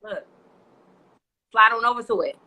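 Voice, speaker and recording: A woman's voice: a little high, expressive, unhurried, bright, forceful tone, poor audio. A woman guest, a wine brand founder, chatting casually in a laid-back, playful way. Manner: laid-back, playful, says "listen" a lot. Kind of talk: casual chat.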